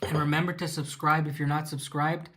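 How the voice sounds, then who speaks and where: A man's voice: fairly high pitch, level tone, brisk, a bit bright. A man with a Mexican accent hosting a casual live Q&A, reading viewer questions aloud and answering them with fillers and restarts.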